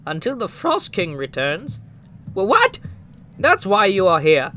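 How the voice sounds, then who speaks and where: An actor playing a timid, gentle toy lord, a jack-in-the-box, in a polite, hesitant, theatrical manner for an audio drama. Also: mid-range voice, wildly swooping pitch, unhurried, slight background noise, heavily acted. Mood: gloomy, wary. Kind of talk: amateur audio drama acting.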